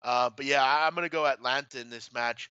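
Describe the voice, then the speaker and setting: Man, clear crisp voice, medium pitch, blunt betting show host, casual, halting, slightly lo-fi recording. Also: fairly even intonation, sharp tone, dry recording. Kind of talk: casual sports betting discussion. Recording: slightly dull, dry lo-fi audio.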